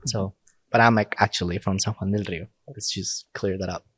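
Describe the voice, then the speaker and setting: Relaxed low-voiced Mexican priest. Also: male voice, moderate pace, slightly rough, no echo. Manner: relaxed, laughs often, speaks in short phrases. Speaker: priest, podcast guest. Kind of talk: casual interview talk.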